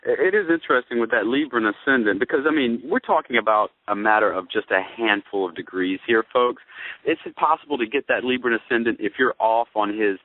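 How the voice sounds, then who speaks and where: A man's voice: mid-range voice, fairly quick. A man co-hosting an astrology talk, explaining things in a folksy, conversational way and often checking in with listeners. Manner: explanatory, folksy, addresses listeners with tag questions. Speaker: co-host, astrologer. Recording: tinny, phone-like radio-show audio.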